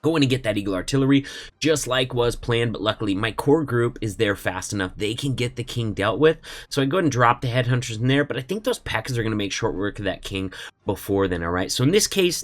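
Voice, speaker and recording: A man's voice: mid-range voice, quick pace. Chatty man with a head cold. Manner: relaxed, chatty, says "man" and "all right?" often. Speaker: gaming YouTuber. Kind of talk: casual gameplay commentary.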